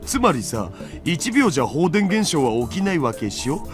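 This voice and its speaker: Nerdy anime hacker dude, kinda high voice, talks quick and slangy. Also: male voice, sing-song, music in the background. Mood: explaining. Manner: slangy, casual explaining with quirky endings. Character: nerdy, laid-back young male hacker. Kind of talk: Japanese anime visual novel acting.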